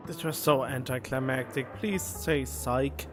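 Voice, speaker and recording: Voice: mid-range voice, unhurried, over music. A game streamer giving casual, incredulous livestream commentary, grumbling and swearing.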